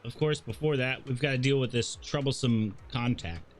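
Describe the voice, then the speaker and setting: A man's voice: mid-range voice, moderate pace, faint music behind, close to an everyday voice. A man voicing an easygoing, sly young game character with a casual, knowing manner. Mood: sly, explanatory.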